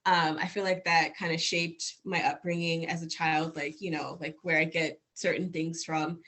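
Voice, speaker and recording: A woman's voice: low-pitched, moderate pace. A woman in her forties from Washington, D.C., a guest telling her story in a casual interview with lots of fillers. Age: 40s. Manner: animated, with many fillers and restarts.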